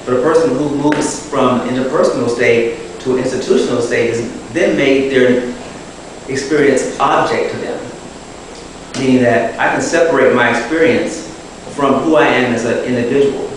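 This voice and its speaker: A man giving a public talk, answering an audience question in a thoughtful, measured way, often restarting his phrases. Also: mid-range voice, slight background noise. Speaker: guest speaker. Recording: echoey hall taped from far off.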